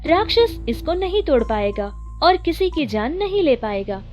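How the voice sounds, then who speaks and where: A woman's voice: sits high, sing-song, measured pace, darkish tone. A woman narrator reading a scripted children's story. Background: music.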